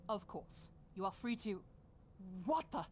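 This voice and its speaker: A woman's voice: medium pitch, animated, a little slow, a little hiss or hum, natural voice. A woman voicing a wary, dutiful city guard in a dramatic video game, with an official, cautious manner. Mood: surprised, awed.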